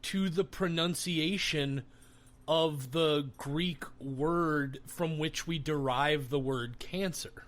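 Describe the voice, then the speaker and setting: A man's voice: a little high, slow pace, fairly bright tone. A man co-hosting a podcast in casual chat, explaining and correcting in a slightly pedantic way. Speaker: podcast co-host.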